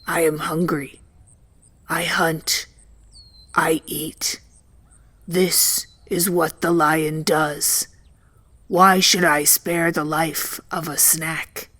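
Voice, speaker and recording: A woman voicing a mighty, hungry male lion with a low grumble and a slow, imperious way of speaking in a storybook tale. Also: little pitch movement, fairly bright tone, exaggerated voice. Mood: proud, menacing.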